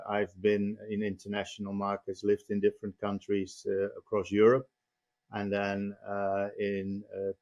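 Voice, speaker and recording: Measured Dutch-accented man, low voice. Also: a little mellow. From Netherlands. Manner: measured, many "uh" pauses. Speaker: company CEO guest. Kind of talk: podcast interview.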